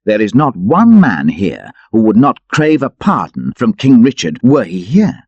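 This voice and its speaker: A man's voice: fairly low pitch, huge pitch swings, measured pace, darkish tone, husky, no echo, muffled recording, voice pushed up, exaggerated voice. A man voicing a bold, loyal outlaw leader in an audiobook, proud, hearty and declamatory. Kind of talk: dramatic audiobook character voice.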